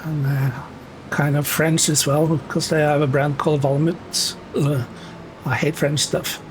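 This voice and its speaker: Slow-talking Norwegian-accented man streaming. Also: fairly high pitch, background noise. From Norway. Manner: relaxed, chatty, many fillers, answers chat. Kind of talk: casual chat while gaming.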